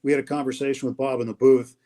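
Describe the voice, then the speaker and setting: A man's voice: medium pitch, flat delivery, racing. A man who calls games on the radio, telling stories about colleagues in a casual, reminiscing way over a video call. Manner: anecdotal, warm reminiscing with restarts. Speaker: guest, radio play-by-play announcer. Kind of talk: casual storytelling.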